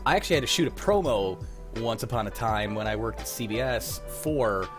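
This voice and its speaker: A man's voice: medium pitch, expressive, brisk, over music. A young man hosting a casual trivia game with friends on a livestream, playful and teasing. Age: young adult. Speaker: quiz host.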